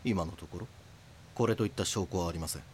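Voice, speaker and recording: An actor playing an earnest police detective who narrates his case in a grave, measured way for an audio drama. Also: deep voice, slight background noise, close to an everyday voice. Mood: serious, restrained.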